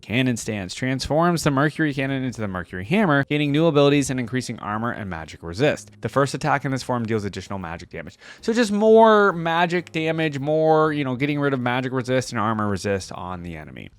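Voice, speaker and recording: A man's voice: mid-range voice, sing-song, fast talker, few pauses, no echo. A man giving casual gaming reaction commentary as a video host, thinking aloud in a conversational way.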